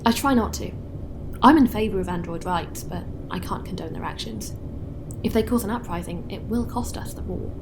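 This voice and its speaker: A woman's voice: medium pitch, normal speed, gravelly, background noise, lightly acted. A woman playing a friendly, practical spaceship pilot in a naturalistic sci-fi audio drama, speaking casually and helpfully as she explains things. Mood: guarded, serious.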